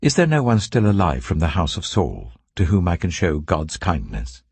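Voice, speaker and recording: A British man voicing a commanding, kindly ancient king in a dramatized scripture reading, speaking in a stately, deliberate way. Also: low voice, wildly swooping pitch, a little mellow, husky, no echo, full character voice. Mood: regal, benevolent.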